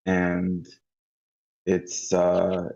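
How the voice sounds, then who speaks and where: Man, very deep pitch, hesitant, thinking aloud, casual critique, muffled low-fidelity call audio. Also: completely flat delivery, drawn-out words, gravelly, mellow. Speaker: participant giving script feedback. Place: online group meeting. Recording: dull lo-fi online call audio.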